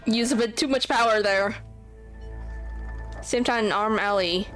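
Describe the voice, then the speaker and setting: A woman's voice: on the high side, a little slow, fairly bright tone. A woman giving casual, reactive commentary as she streams a game playthrough. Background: game music.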